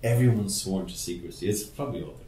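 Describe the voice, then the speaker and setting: A man's voice: low-pitched, animated, fast talker, dark tone, roomy sound. A male podcast host asking questions in casual, rambling, teasing conversation.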